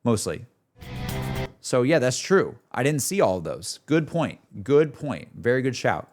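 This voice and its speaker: A man's voice: medium pitch, sing-song, measured pace, soft background music. A man streaming casual, slangy, exclamatory reactions to a game as he talks to his viewers.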